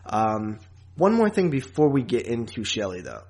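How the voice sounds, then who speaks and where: A man hosting a podcast in a casual, rambling, halting chat style with frequent asides. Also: mid-range voice, big pitch swings, darkish tone. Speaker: podcast host.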